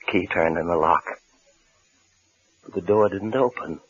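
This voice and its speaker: An American man, an actor playing a paranoid husband in hiding, in halting, haunted 1950s radio suspense style. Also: sits low, breathy edge. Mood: fearful brooding. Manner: haunted, halting, repeating phrases. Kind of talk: 1950s radio suspense acting, first-person narration and dialogue. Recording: muffled old radio broadcast with music.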